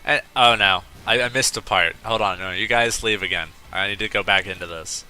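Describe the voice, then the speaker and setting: A man's voice: on the low side, a little fast, pushed and bright, soft background music. A man hosting a let's play, chatting casually and rambling into off-topic asides while he plays. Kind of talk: casual gameplay commentary.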